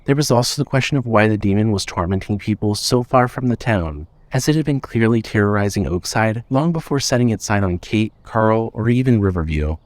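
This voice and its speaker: A man's voice: lowish voice, expressive, fairly quick, hardly any pauses, a little mellow, no room sound. A man reading scripted fiction as the narrator of an audio story.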